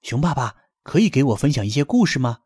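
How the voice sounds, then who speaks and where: A man voicing an eager, polite rabbit father in a bedtime story, asking quickly and earnestly. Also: mid-range voice, sing-song, a little mellow, husky, no room sound, clean studio sound.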